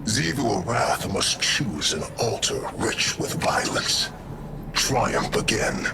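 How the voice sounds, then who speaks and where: A proud undead war god speaks in a very low, creaky voice over loud music. He is brooding and disdainful, measured and formal, with big swings in tone, as dramatic game dialogue. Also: big pitch swings, very noisy, heavily acted. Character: proud, menacing undead alien war god, bound captive.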